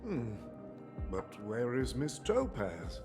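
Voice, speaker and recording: Smug, slow-drawling businessman. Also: medium pitch, huge pitch swings, full character voice. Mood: smug, probing. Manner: drawling, theatrical, insinuating. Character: smug, wary businessman, slyly courteous. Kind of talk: video game voice acting. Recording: music under the voice.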